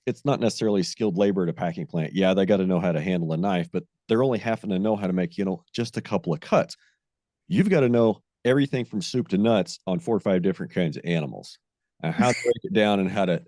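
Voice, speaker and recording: A man's voice: lowish voice, warm tone, dry recording, studio quality. A man hosting a ranching podcast, talking in a loose, rambling way and often restarting his phrases. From American. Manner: rambling, repeats and restarts phrases, drawn-out emphasis. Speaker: podcast host. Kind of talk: casual interview chat.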